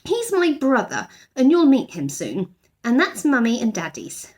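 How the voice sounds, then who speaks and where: Woman as a young girl, high lilting voice, chattering, kind, eager, storybook character. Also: a little slow, exaggerated voice. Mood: chatty, welcoming. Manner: chattering, helpful, lively.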